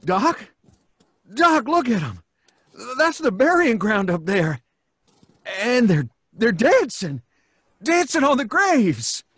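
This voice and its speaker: A man's voice: very high-pitched, wildly swooping pitch, takes it slowly, pauses often, a bit bright, breathy edge, no room sound, clean studio sound, full character voice. A man playing a fearful, superstitious rustic townsman who stammers, in an old-time radio horror play. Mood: terrified. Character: nervous, superstitious rustic townsman, reluctant sidekick.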